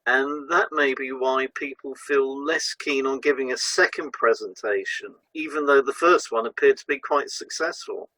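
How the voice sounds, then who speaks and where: A man's voice: mid-range voice, moderate pace, few pauses, bright, forceful tone, dry recording, poor audio. A man presenting a scripted educational talk as a narrator.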